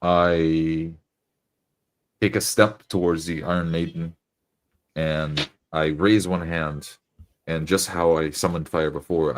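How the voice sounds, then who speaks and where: A man with an extremely deep voice and very little movement in pitch. He is a player in a tabletop game, stating what his character does. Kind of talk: describing his character's actions in roleplay.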